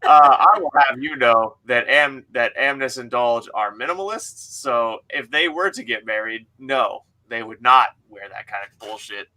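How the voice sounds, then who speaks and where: A man's voice: mid-range voice, lively intonation, a little slow, few pauses, bright, forceful tone, low-quality recording. A young man hosting a casual livestream, reading out comments and bantering jokily, laughing through his words and swearing. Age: young adult. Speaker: author hosting a read-along livestream. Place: indoor room at home.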